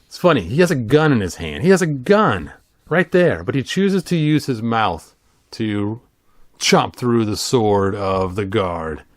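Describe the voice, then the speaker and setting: A man's voice: fairly low pitch, big pitch swings, measured pace, dry recording, studio quality. A middle-aged man giving a casual, playful, rambling read-along commentary as the host. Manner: playful, rambling, self-amused, adds sung sound effects. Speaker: host of a toy and storybook channel.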